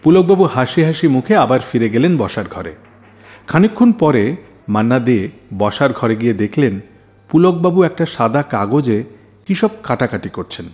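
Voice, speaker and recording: A man's voice: medium pitch, sing-song, moderate pace, darkish tone. A man hosting a radio show, telling scripted stories in a dramatic way and putting questions to listeners.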